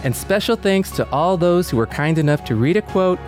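A man's voice: high voice, lively intonation, moderate pace, music in the background. A man co-hosting a podcast, talking in a casual style. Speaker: podcast co-host.

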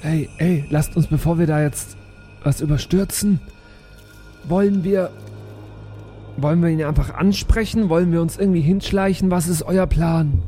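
High, soft-toned male role-player. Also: normal speed, long pauses. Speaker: role-playing game player. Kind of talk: improvised in-character chat. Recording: music behind the voice. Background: music.

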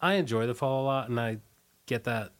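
A man being interviewed in a casual, rambling way, halting and often restarting his sentences. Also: mid-range voice. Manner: rambling, halting, many false starts. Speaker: interview guest, punk band singer. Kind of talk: casual conversational interview, recollecting.

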